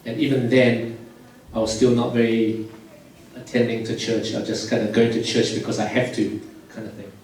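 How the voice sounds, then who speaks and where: A man from Malaysia in his forties, a surgeon telling his life story to a church youth group in a relaxed, plain way with many "um"s. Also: mid-range voice, normal speed, a little hiss or hum. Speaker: surgeon giving a testimony. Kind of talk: personal testimony talk to youth. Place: church hall. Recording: very echoey hall, voice from far off, faint music.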